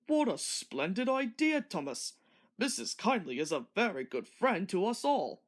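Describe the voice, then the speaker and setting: A kindly but commanding older gentleman in charge of a storybook railway, put on by a woman. He is hearty and a little pompous, with very varied intonation. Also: medium pitch, sing-song, unhurried. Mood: delighted. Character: kindly, authoritative older man, railway boss.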